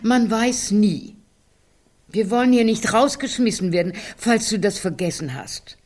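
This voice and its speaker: A woman's voice: medium pitch, expressive, a little slow, darkish tone. An actress playing a practical, impatient older woman in a literary radio drama, curt and matter-of-fact. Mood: irritated.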